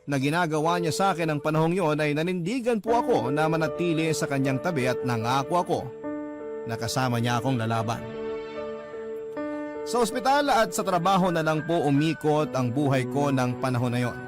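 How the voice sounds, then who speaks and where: A man's voice: a little high, animated, a little fast. A man narrating a first-person letter in a radio drama, in an earnest, confessional and respectful way. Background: music.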